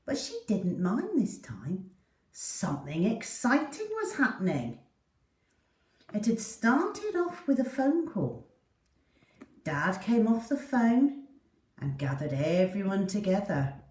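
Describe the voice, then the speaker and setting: A woman reading a children's story aloud in an expressive, deliberate way for young listeners. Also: mid-range voice. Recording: roomy echo, distant mic.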